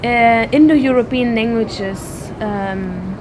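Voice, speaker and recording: A woman's voice: a little high, takes it slowly. Woman teacher explaining grammar. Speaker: German teacher. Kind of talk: interview answer. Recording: noisy recording with traffic.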